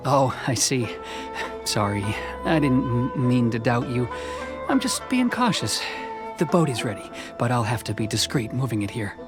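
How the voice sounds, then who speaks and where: A man's voice: mid-range voice, lively intonation, moderate pace, close to an everyday voice. A man playing a nervous, cautious boatman in a video game, wary and given to stammering. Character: nervous, cautious boat preparer. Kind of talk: video game character acting. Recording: background music under the voice.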